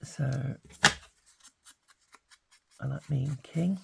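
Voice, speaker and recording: Older woman, hoarse with hay fever, low unhurried voice, light background hiss. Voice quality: gravelly. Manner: chatty, relaxed, thinking aloud. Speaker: craft channel host. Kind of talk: casual craft tutorial.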